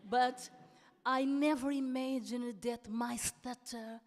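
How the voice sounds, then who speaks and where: A woman, a politician and activist, speaking slowly with frequent stuttering, pauses and restarts. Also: on the high side, steady tone. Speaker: politician and anti-racist activist. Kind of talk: panel talk. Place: large hall at a public event. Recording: long hall reverb.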